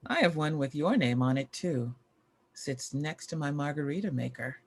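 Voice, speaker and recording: An actress plays a defiant former child star, a woman with a husky, creaky voice. She delivers her drama lines with sardonic bite. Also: low voice, animated, normal speed. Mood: cool menace. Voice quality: gravelly, husky.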